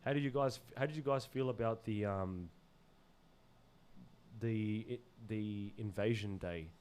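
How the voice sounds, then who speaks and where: A man from Zimbabwe with an Australian accent, talking earnestly and haltingly in casual podcast conversation, often repeating words as he searches for phrasing. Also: lowish voice, darkish tone, clear, resonant tone. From Zimbabwe, moved to Australia as a child. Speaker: podcast guest.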